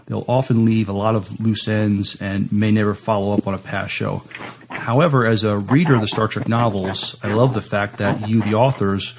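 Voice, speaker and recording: A man hosting a podcast interview in a halting way, stumbling over his words as he introduces a guest and asks prepared questions. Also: lowish voice, vocal fry, a little hiss or hum.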